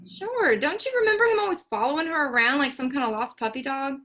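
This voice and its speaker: Woman reading gentle daughter, high voice, soft, agreeable, teasing. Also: expressive, fast talker. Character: Candace, gentle grown daughter, patient and agreeable. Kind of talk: staged play reading.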